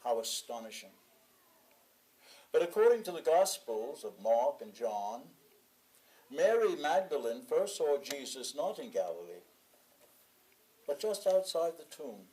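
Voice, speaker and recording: Man reading a sermon in measured phrases. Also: fairly high pitch, sing-song, mellow, low-quality recording. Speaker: sermon reader. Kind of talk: sermon read from a written text.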